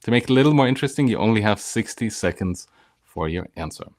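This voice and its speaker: A man's voice: lowish voice, expressive, fast, darkish tone, no room sound, clean studio sound. A man hosting a live show, presenting with upbeat energy and welcoming his guest. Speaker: host.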